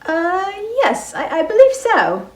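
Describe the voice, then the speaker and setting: A woman's voice: extremely high pitch, wildly swooping pitch, slow, echoey room, heavily acted. A woman playing a prim, reserved, genteel lady librarian in a dramatized novel, speaking politely and properly. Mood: polite, modest.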